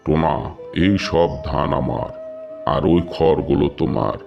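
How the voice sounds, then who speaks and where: A man's voice: rumbling low, unhurried, vocal fry, lo-fi sound, music in the background, full character voice. A man voicing a lazy, greedy male crow in a cartoon fable, sly and bossy. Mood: threatening.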